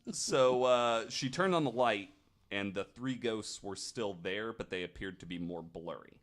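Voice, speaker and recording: A man with a fairly low voice hosts a podcast, retelling eerie stories from his notes. His delivery is casual and conversational, with a joking, irreverent manner. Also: unhurried. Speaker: podcast host.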